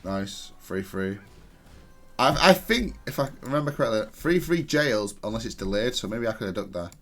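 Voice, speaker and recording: A man with a fairly low voice talks through his own gameplay. His delivery is analytical and matter-of-fact, with a self-critical streak. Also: lively intonation, normal speed, soft background music. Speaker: gamer reviewing own match.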